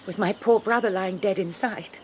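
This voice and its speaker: A woman's voice: mid-range voice, normal speed, a little mellow, natural voice. An actress playing a prim, proper missionary woman, speaking formally and politely, sometimes flustered. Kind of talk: radio drama acting. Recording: noisy recording with audible hiss.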